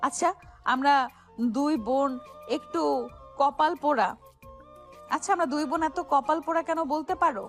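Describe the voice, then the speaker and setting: Young heroine played by a woman for romantic audio drama: high-pitched, emphatic, wounded but firm, over soft music. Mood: hurt, sorrowful. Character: wronged young woman, stern yet deeply hurt daughter. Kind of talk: Bengali audio story drama acting. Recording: music behind the voice.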